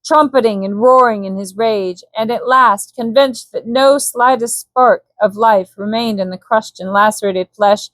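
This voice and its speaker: A woman narrates a bedtime adventure story in a fairly high voice. Her reading is calm and scripted, recorded dry with very little room sound. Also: unhurried, hardly any pauses, no room sound. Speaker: audiobook narrator.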